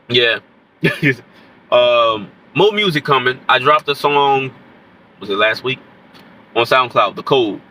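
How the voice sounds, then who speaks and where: A man's voice: mid-range voice, sharp tone, poor audio. A man, sick and congested with a nasal voice, rambling casually to camera as an independent rapper. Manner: rambling, keeps saying "you know what I'm saying". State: sick with stuffy nose and scratchy throat. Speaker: independent rapper commenting on hip-hop. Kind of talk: casual unscripted commentary to camera.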